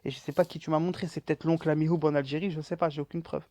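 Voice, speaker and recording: Quick, teasing young man, dry sound. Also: on the high side, level tone, mellow, no room sound. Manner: mocking, teasing, quick retorts. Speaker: debater in an online discussion. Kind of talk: casual debate.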